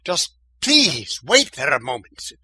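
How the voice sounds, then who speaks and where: Man acting a bright, swooping, theatrical audio-drama hero. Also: fairly high pitch, wildly swooping pitch, takes it slowly, breathy edge, no room sound, heavily acted. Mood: urgent. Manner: theatrical, exclaiming. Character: excitable, loud male robot and urgent time-travelling Doctor.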